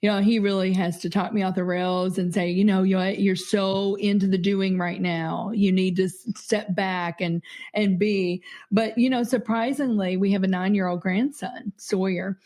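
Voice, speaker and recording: Mellow-voiced woman, earnest and chatty. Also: mid-range voice, fairly even intonation, normal speed. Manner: warm, earnest, laughs easily, many fillers. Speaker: podcast guest, company CEO. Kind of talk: conversational interview.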